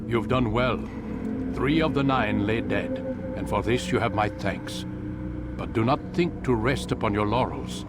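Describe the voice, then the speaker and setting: An actor playing a stern older male master of an order in a video game, giving measured, commanding orders. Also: fairly low pitch, over loud music, lightly acted. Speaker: mentor.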